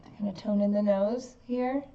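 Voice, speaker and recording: A woman's voice: mid-range voice, fairly even intonation, moderate pace, warm tone, a little hiss or hum. A woman in her late thirties, chatting casually and a little self-deprecatingly while she works on a craft piece. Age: 30s. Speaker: artist working while chatting. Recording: echoey, distant, dull sound.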